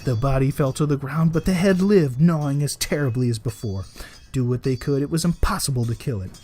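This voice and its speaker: A man reading a folktale aloud as a storyteller, in expressive storybook narration. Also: mid-range voice, brisk, slight background noise. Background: added music.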